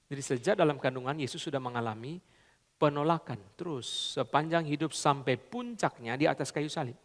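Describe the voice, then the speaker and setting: A man delivers a sermon in a high, pitched-up voice. He swings from loud, emphatic lines to quiet, confiding asides. His speech is full of English phrases and street slang, and it echoes with the long tail of a large church hall. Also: fairly quick. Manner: repeats "saudara" constantly, mixes in English phrases and colloquial Jakarta slang. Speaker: pastor.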